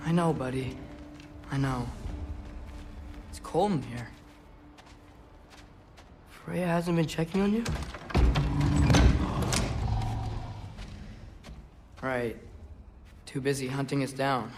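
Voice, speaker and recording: A man's voice: medium pitch, takes it slowly, pauses often. A young man playing an anxious, caring teenage boy in a Norse fantasy video game, earnest and often talking to himself. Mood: subdued, musing. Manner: expressive, talks to himself, earnest. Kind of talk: video game cutscene acting. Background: game music.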